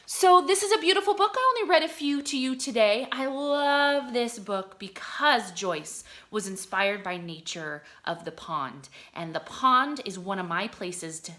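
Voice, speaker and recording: A woman reads aloud in the manner of a teacher with young listeners. Her voice is bright and moves expressively up and down. She enunciates deliberately and explains things in simple terms. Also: a little high, expressive. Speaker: teacher reading aloud to children.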